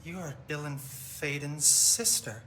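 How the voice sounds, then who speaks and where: A man's voice: a little high, bright, forceful tone, slight background noise. An actor playing a possessed captive young man who sounds detached and eerily content in a video game. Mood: cold, detached. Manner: slow, eerie, detached. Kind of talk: video game cutscene dialogue.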